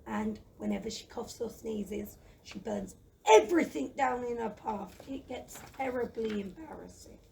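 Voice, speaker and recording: Woman doing a soft-hearted scaredy dragon for a kids audio play, wordy and excitable, gravelly creak, slightly distant noisy recording. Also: a little high, normal speed. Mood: chatty, confiding. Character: gentle, lazy, timid, chatty dragon who loves poetry. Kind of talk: audio play acting for children.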